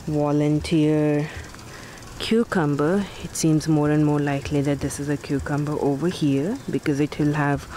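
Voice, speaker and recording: A woman giving a relaxed, casual tour of her backyard vegetable garden, with soft fillers along the way. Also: low-pitched, slight background noise, rough recording.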